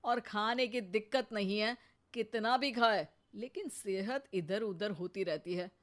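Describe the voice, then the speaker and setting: A woman's voice: mid-range voice, unhurried, natural voice. A woman voicing a sarcastic older father-in-law in a cartoon, teasing his wife. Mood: mocking.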